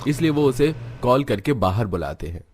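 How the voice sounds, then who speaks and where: A man's voice: medium pitch, very animated, normal speed, a little mellow, breathy edge. A man narrating a scripted cartoon story as its storyteller.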